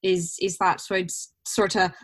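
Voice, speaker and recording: A woman actor talking casually as an interview guest, animated and given to slipping into dialect voices. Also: on the low side, normal speed.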